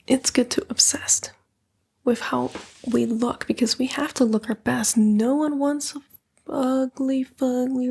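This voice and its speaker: A woman playing a coaxing, condescending female demon in a breathy, whispered ASMR roleplay. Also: fairly high pitch, a little mellow, full character voice. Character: female demon, a fallen angel, coaxing and vain tempter.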